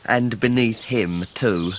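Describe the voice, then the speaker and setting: A man's voice: lowish voice, slow, slight background noise. An actor playing a falsely humble, scheming English clerk in a radio drama, obsequious and insinuating in his talk. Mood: resentful, insinuating. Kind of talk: full-cast radio drama acting.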